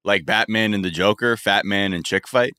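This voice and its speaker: A man's voice: lowish voice, normal speed, bright, forceful tone, clear, resonant tone, no echo, clean studio sound. Exasperated, profane man on a podcast. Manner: loose, profane, exasperated riffing. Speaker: podcast co-host. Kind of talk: casual podcast chat.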